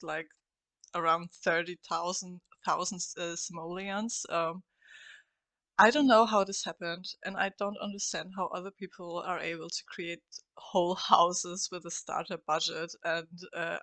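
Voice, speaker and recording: A woman's voice: on the low side, gravelly, pushed and bright, no echo. A woman with a cold and a scratchy voice, hosting a casual game-building voice-over, hesitant and full of fillers. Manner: hesitant, many fillers, chatty and upbeat. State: has a cold. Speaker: game builder and channel host.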